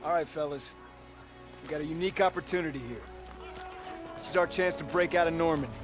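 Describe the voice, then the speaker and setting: An actor playing a protective army lieutenant giving his squad a firm, steady briefing, with loud music underneath. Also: on the high side, brisk, natural voice.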